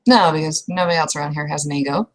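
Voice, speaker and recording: A woman's voice: deep voice, fast. A young woman improvising in-character roleplay conversation, speaking casually and hesitantly with frequent restarts. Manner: casual, hesitant, trailing restarts with mild swearing. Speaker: roleplay player.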